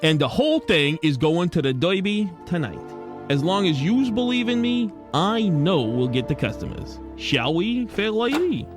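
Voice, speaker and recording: Man voicing a slangy self-doubting penguin gumshoe, high-pitched. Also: sing-song, moderate pace, over music. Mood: grateful. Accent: American. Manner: slangy tough-guy talk. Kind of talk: 1940s radio detective acting and narration.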